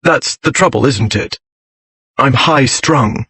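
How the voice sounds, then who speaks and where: A man's voice: medium pitch, slow, bright, forceful tone, breathy edge, dry recording, heavily acted. A man voicing a brooding, proud nobleman in an audiobook, speaking in clipped, formal, dryly witty period style. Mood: shaken, rueful. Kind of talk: audiobook character voice.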